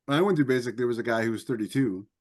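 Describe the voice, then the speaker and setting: A man's voice: mid-range voice, rapid-fire, a little mellow. An American man co-hosting a podcast, sharing personal anecdotes in a conversational, wry manner. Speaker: podcast co-host. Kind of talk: casual chat, personal anecdotes.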